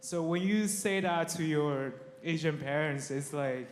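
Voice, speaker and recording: A man tells his own story to a congregation, in a somewhat high voice and a chatty, laughing, halting way. His words ring through a reverberant church hall. A faint noise sits under the sound. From Taiwan. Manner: chatty, laughs often, many restarts and fillers. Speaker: guest speaker. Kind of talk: personal testimony to a congregation. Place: church sanctuary.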